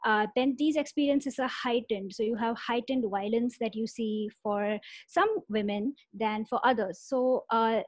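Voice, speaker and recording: A woman's voice: a little high, steady tone, a little slow, dry recording. A young woman on a webinar panel, talking earnestly with many fillers, on an earphone mic from her bedroom. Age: young adult. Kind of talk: webinar discussion. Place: bedroom at home. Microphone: earphone mic on a video call.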